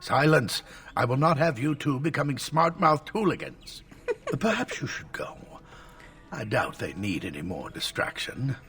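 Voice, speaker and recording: An actor playing an elderly scholarly tutor in a video game, lecturing formally and at length, stern and easily exasperated. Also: male voice, medium pitch, big pitch swings, measured pace, creaky, fairly bright tone, recorded from a distance, slight background noise, full character voice.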